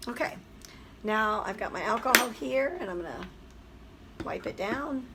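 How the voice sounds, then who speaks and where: A hoarse woman, a teacher, chattily explaining a craft project step by step. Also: a little high, expressive, measured pace, heavy background noise. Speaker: craft tutorial host. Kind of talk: craft tutorial voice-over.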